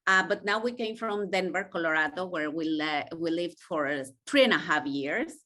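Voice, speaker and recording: A woman's voice: fairly low pitch, animated, normal speed, bright, forceful tone. A middle-aged woman from Mexico with a heavy accent that mixes Mexican Spanish and Israeli sounds, speaking earnestly and reflectively as a podcast guest. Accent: Mexican Spanish mixed with Israeli. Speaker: podcast guest, intercultural coach. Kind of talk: conversational interview.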